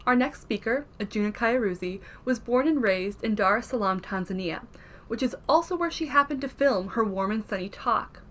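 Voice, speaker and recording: A woman hosting an event, reading a scripted introduction of the next speaker in a clear, announcing way. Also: a little high, lively intonation, normal speed.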